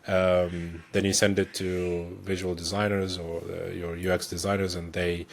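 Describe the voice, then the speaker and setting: A man speaking as a guest on a podcast, explaining his work in a thoughtful way, with many pauses and restarts. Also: low-pitched, level tone, gravelly, a little hiss or hum. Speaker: guest, technical director. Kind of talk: conversational explanation.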